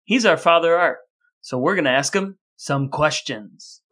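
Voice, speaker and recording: A man's voice: a little high, measured pace, very noisy. A man co-hosting a podcast in a casual but wordy, wry style. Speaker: podcast co-host. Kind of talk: casual chat, scripted podcast outro.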